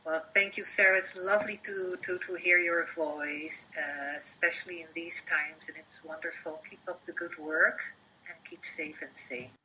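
A woman with a Dutch accent, a performance artist being interviewed, talking in a halting, reflective way and sometimes dropping into a whisper. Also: fairly low pitch, fairly even intonation, pushed and bright, slight background noise, rough recording. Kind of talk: casual interview chat.

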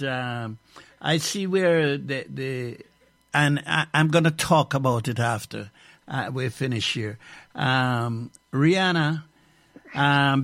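Halting, animated male radio host. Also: mid-range voice, no room sound. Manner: halting, many restarts, animated. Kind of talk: casual radio chat.

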